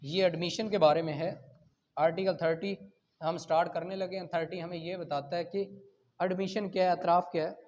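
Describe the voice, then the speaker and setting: A man's voice: high voice, steady tone, brisk, darkish tone. A man with a Pakistani accent teaching in an explanatory lecture style, posing rhetorical questions and checking that students follow. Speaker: law teacher. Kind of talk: explanatory lecture, reading statutes then explaining. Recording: dull lo-fi recording.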